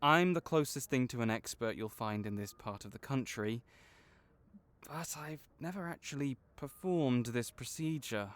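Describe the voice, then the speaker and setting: A man playing a gentle, caring vet for magical creatures in an audio roleplay, speaking in a measured, reassuring, explanatory way. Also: mid-range voice, natural voice. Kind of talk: soft-spoken one-sided comfort roleplay.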